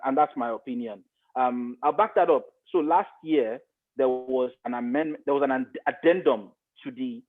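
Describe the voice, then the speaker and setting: A middle-aged Nigerian man speaks as an expert interview guest. His pitch is average and he explains things step by step, restating key points. The sound is thin and narrow, like a radio or phone line. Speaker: guest, broadcast media expert. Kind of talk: podcast interview, explaining an opinion.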